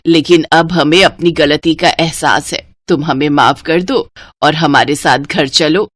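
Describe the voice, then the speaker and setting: A woman's voice: deep voice, steady tone, measured pace, dry recording, voice pushed down. A woman voicing a stingy, bossy mother-in-law in a cartoon story, commanding and emphatic. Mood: apologetic.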